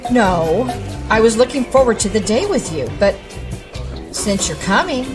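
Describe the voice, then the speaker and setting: A woman's voice: fairly high pitch, big pitch swings, measured pace. An actress playing a friendly, no-nonsense woman gardener in an audio drama. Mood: friendly, brisk. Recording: music behind the voice.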